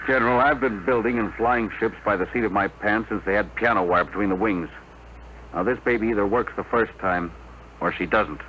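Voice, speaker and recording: An actor playing a bold, plain-spoken rocket builder and pilot in a 1950s radio drama, on an old broadcast recording. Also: male voice, lowish voice, brisk, natural voice. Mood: determined. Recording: muffled, hissy 1950 radio broadcast.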